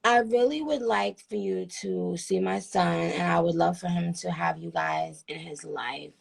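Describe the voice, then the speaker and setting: A woman's voice: fairly low pitch, big pitch swings, measured pace, gravelly, husky. A woman in her thirties from Trinidad, chatting earnestly and gratefully on a phone livestream, rambling with restarts. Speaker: livestream host. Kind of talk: casual chat on a livestream.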